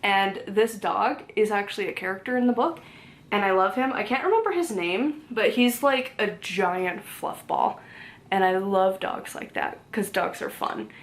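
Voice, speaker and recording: A woman's voice: medium pitch, animated, normal speed. Chatty young woman rambling about books. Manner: rambling, chatty, many "like" fillers and self-corrections; easily laughs, talks to her cat between books. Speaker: book vlogger. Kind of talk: casual chat. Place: home, indoors.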